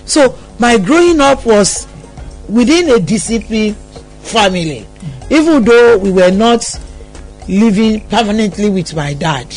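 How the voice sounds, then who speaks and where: A woman's voice: mid-range voice, expressive, measured pace, a little mellow, faint music behind, low-quality recording. A middle-aged woman with a Nigerian accent telling personal stories as a radio interview guest, with an emphatic manner. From Lagos, Nigeria.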